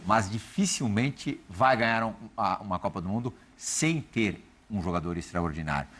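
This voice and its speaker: A man from Brazil hosting a TV football debate, talking briskly and conversationally while putting questions to the panel. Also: fairly low pitch, expressive, a bit bright. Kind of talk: TV sports debate.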